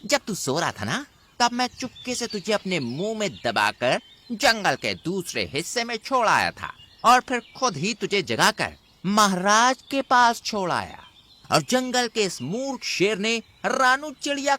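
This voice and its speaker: Scheming nasty cartoon wolf, piercing tone, really theatrical swoops. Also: male voice, high voice, wildly swooping pitch, measured pace, bright, forceful tone, heavily acted. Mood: gloating. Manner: exaggerated, sly, threatening delivery. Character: cunning, scheming villain wolf, boastful and menacing. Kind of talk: Hindi children's cartoon voice acting.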